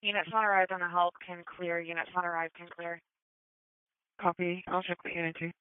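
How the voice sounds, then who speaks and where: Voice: medium pitch, flat delivery, brisk, smooth, no room sound. A dispatcher relaying police calls over a radio channel, through narrow scanner audio. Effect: radio transmission. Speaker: emergency dispatcher.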